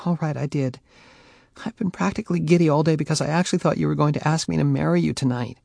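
A man's voice: on the high side, fast, creaky, darkish tone, no room sound, studio quality, pitched above the performer's own voice. A man reading an audiobook, voicing a heartbroken young woman who pleads tearfully and flares into anger. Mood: hurt pleading. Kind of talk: audiobook character voice.

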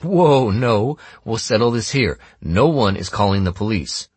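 A man's voice: on the low side, lively intonation, measured pace, husky, dry recording, voice pushed up. A man voicing a hot-headed, confrontational teenage boy in an audiobook, with snarling, slangy demands. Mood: firm. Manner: snarling, forceful slangy speech.

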